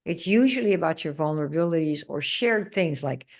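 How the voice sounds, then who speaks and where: Woman sales coach, lowish voice, emphatic, quizzes audience. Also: expressive, brisk. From Netherlands. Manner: emphatic, asks the audience questions. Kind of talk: live coaching session.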